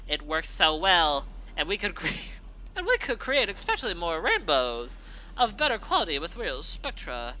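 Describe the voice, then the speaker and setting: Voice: mid-range voice, wildly swooping pitch, normal speed, bright, forceful tone, slight background noise. A storyteller playing a cruel, furious grown pegasus mare who laughs maniacally and screams with rage. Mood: gloating. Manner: manic laughter and enraged screaming. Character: villainous adult female pegasus, cruel and furious.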